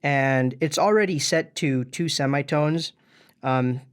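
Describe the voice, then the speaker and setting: A man's voice: on the high side, takes it slowly, smooth. Man giving a casual gear tutorial. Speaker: music gear tutorial presenter.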